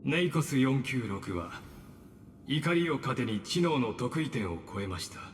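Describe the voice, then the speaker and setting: A man voicing a calm, polite and calculating male villain in a game dub. He speaks in a formal, measured way. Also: medium pitch, a little hiss or hum, close to an everyday voice. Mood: courteous, cold. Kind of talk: Japanese game dub acting. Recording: game audio with reverb and faint music.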